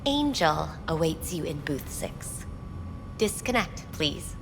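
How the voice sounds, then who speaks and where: A woman playing a polished, unflappable receptionist in a video game, speaking in formal, smooth customer-service patter. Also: big pitch swings, slow pace, heavily acted. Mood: polished, reassuring. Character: polished, unflappable female receptionist selling a futuristic club's services. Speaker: receptionist at a futuristic pleasure club. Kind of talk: scripted video game acting. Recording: clearly noisy rumbling background.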